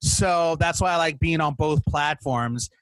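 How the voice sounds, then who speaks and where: Guy hosting a gaming podcast, kinda high voice, animated casual banter, stammers a bit, no room echo. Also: unhurried. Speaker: podcast host interviewing a guest. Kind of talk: casual chat about video games.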